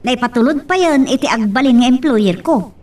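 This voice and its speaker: Actress as high-voiced, exasperated wife, off-mic. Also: female voice, expressive, brisk, a little mellow, lightly acted. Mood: firm, frustrated. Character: determined, exasperated wife planning to work abroad. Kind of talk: Ilocano radio-style audio drama. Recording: distant from the microphone.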